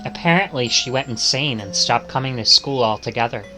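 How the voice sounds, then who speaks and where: A male streamer narrates a visual novel dramatically, reading the text aloud. His voice is bright and mid-pitched, with faint music underneath. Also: brisk.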